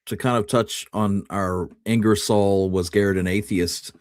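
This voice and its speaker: A man's voice: lowish voice, steady tone, a little slow, dry recording, clean studio sound. A man co-hosting a history podcast, chatting casually and conversationally. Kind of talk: casual chat.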